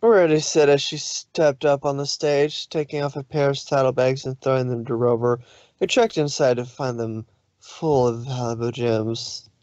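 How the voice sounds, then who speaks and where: A man reading fan fiction aloud as an expressive story narrator. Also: mid-range voice, normal speed, no echo, dull audio.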